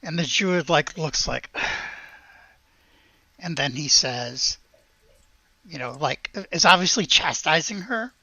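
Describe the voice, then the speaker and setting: Male game master, improvised narration, halting and unhurried, bright but gravelly, on a slightly muffled mic. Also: on the high side, expressive, no room sound. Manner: halting, searching for words.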